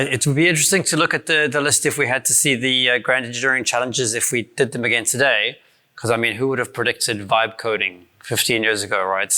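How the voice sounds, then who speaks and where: Bright-voiced South African man giving a talk. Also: medium pitch. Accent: South African, softened by years abroad. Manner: casual, many restarts and fillers, self-deprecating. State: voice strained from shouting the night before. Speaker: conference speaker. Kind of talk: conference talk. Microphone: handheld or stand mic.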